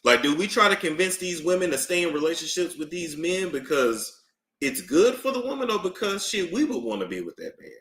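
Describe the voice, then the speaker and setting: A man giving casual live-stream commentary, emphatic and animated, repeating phrases for effect. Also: high voice, brisk, a little hiss or hum. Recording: roomy echo.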